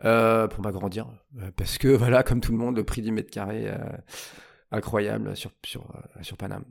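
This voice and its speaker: Gravelly man in his forties, halting. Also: fairly low pitch, fairly even intonation. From Paris area, France. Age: 40s. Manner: hesitant, many "euh" fillers and repeated words, colloquial slang. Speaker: podcast guest, entrepreneur. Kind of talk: casual conversational interview. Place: office.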